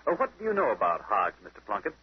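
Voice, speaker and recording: A man's voice: on the low side, wildly swooping pitch, fast talker, husky, full character voice. An American man playing a keen, articulate detective who reasons aloud, in 1930s radio acting. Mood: inquiring. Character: keen, deductive male detective, inspector's grown son. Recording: dull old 1930s radio recording.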